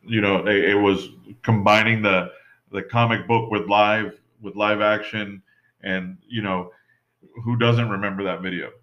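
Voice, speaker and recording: A man's voice: on the low side, fairly even intonation, unhurried, a bit bright. A man in casual podcast chat who rambles, full of "you know" and restarts. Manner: rambling, many fillers and restarts. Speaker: podcast co-host. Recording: dull lo-fi sound.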